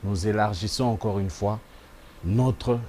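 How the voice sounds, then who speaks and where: A man's voice: lowish voice, measured pace, darkish tone, a little hiss or hum. A man hosting a TV magazine, addressing viewers in an emphatic presenter style and repeating his slogans. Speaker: TV magazine host.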